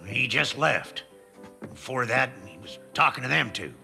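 An actor playing an old, drawling western bartender in a video game. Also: male voice, mid-range voice, lively intonation, unhurried, gravelly, sharp tone, faint music behind, heavily acted. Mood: wary.

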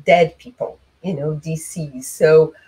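A middle-aged woman from Brazil talks on a video call from a small room, her voice somewhat low. She speaks in an unhurried, reflective way, pausing often to search for words. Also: fairly even intonation, darkish tone, poor audio. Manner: slow, reflective, many fillers. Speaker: guest. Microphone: webcam on video call.

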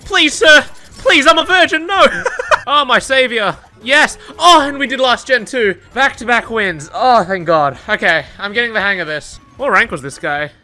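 Excitable swearing young man gaming. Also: very high voice, normal speed, faint music behind. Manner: excitable, swearing, yelling at the game and laughing. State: tired, says his voice is going. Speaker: gaming YouTuber. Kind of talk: live gameplay commentary.

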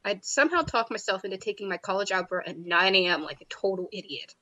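A woman's voice: mid-range voice, animated, quick pace, bright, forceful tone, poor audio. A woman reading a visual novel's inner monologue aloud in a wry, chatty way. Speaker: game narrator and player.

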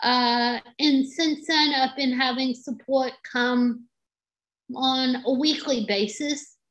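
A woman's voice: sits high, fairly even intonation, takes it slowly, a bit bright, rough recording. A woman panelist chatting eagerly on a video call. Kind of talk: casual video call chat.

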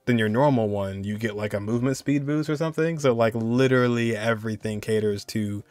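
A man's voice: mid-range voice, brisk, a little mellow, dry recording. Young man, casual reaction commentary, laid-back, conversational, dry recording, faint music. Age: young adult. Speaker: reaction video host.

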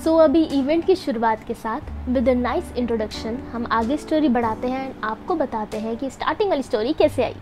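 A woman's voice: sits high, moderate pace, few pauses. A young woman narrating her vlog as a voice-over, chatty and upbeat, talking straight to her viewers. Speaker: food and event vlogger. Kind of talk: voice-over narration mixing Hindi and English.

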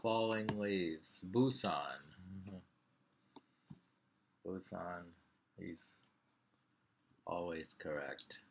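An older man in his sixties reading poems aloud at home with informal, halting commentary, repeating lines and names. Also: deep voice, creaky, slight background noise. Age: 60s. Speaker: poetry reader. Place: small living room at home.

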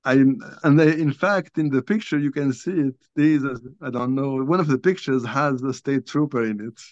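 An older man from Algeria, a guest author, telling a personal story in a halting, conversational way with many restarts, recorded over a video call from a small room at home. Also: medium pitch, no room sound. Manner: halting, restarts often, many you-knows. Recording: dull lo-fi video call audio.

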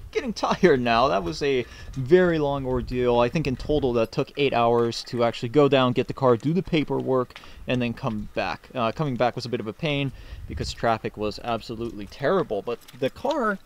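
A man's voice: mid-range voice, fairly bright tone, slight background noise. A young man vlogging casually, explaining as he shows things on camera in a parking lot and inside a car. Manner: chatty, explaining as he goes. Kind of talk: casual vlog narration.

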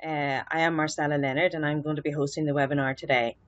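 A woman's voice: deep voice, little pitch movement, fast, dull audio. A middle-aged woman with an Irish accent presenting a webinar over a laptop webcam in a small office, explaining earnestly with fillers and restarts. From Ireland. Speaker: webinar presenter, social worker. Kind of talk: webinar lecture. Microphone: laptop webcam, video call.

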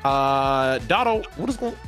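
A man's voice: mid-range voice, animated, measured pace, over music. A man chatting with friends on voice chat during a game stream, swearing and joking, with an echo effect on his mic. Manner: loud, joking, swears freely. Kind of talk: casual voice chat banter.

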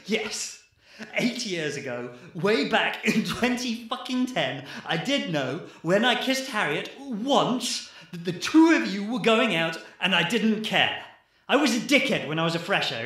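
A man's voice: high-pitched, sing-song, a little slow, fairly bright tone, slightly rough, exaggerated voice. A man acting in a radio play as an exasperated, sarcastic ex-army geologist. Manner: sardonic, sweary, incredulous.

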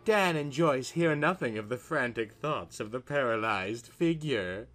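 A man's voice: medium pitch, expressive, measured pace, fairly bright tone, soft background music. A man narrating a scripted horror story in an ominous, dramatic manner.

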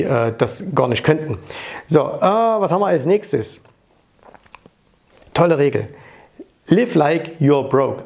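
A man's voice: on the high side, creaky, darkish tone. A man in his thirties giving blunt, opinionated money advice straight to camera in an office, speaking on a lapel mic and dropping frequent fillers and tag questions. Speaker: finance coach and channel host. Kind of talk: casual to-camera advice talk. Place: office with framed pictures, indoors.

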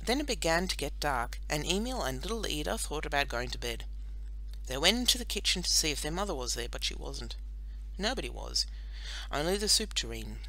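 A woman narrating a children's storybook in an expressive, scripted audiobook style. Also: low voice, brisk, poor audio.

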